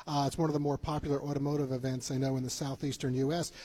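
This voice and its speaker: A man's voice: mid-range voice, little pitch movement, rapid-fire, warm tone, breathy edge. A man hosting a travel radio show in an unscripted, conversational style.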